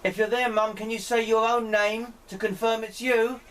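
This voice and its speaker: A man speaking in deliberate, pleading short phrases, putting questions to a spirit as an amateur experimenter. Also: high voice, level tone. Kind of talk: slow spoken questions addressed to a spirit. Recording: distant mic, clear background noise.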